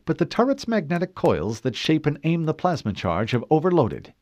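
A man voicing a brisk, precise female ship AI giving reports. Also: fairly high pitch, wildly swooping pitch, darkish tone, no echo, pitched above the performer's own voice.

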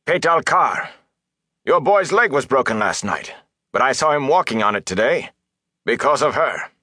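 A man voicing a commanding village mayor and innkeeper in an audiobook, with measured, stern reproach. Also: on the low side, sing-song, sharp tone, slightly rough, no echo, studio quality, voice pushed up, exaggerated voice.

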